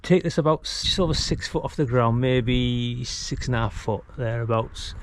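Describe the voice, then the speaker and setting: A man's voice: mid-range voice, normal speed, dry recording. A middle-aged man chatting casually and rambling as he thinks aloud through a DIY job outdoors. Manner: rambling, laid-back, colloquial, thinking aloud. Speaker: amateur radio hobbyist filming a DIY video. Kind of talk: casual chat while demonstrating DIY. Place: outdoors beside a brick house wall. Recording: noisy outdoor recording with ambient traffic.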